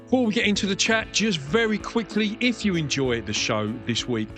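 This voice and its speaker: A man's voice: high-pitched, sing-song, moderate pace, over music. A man hosting a podcast interview, effusive and enthusiastic, chatting in a friendly way. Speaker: podcast and radio host.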